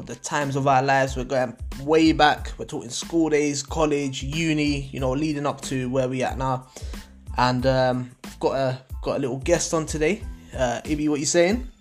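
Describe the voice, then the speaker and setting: Young man hosting casually. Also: fairly high pitch. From Portugal. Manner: laid-back slangy banter, laughs often. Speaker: podcast host. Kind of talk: casual podcast chat.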